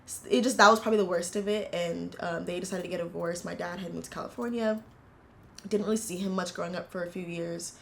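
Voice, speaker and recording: Young woman with a low, gravelly voice. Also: fairly even intonation, a little hiss or hum. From United States. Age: 20s. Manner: earnest, reflective, halting with frequent fillers. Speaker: interviewee, university student. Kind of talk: casual personal storytelling.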